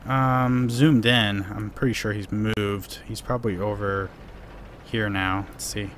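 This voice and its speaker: A man's voice: on the low side, steady tone, unhurried, a bit bright, slight background noise. Rambling young man gamer. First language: Korean. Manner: rambling, many "you know"s and restarts. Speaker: gaming channel host. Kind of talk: live gameplay Q&A commentary.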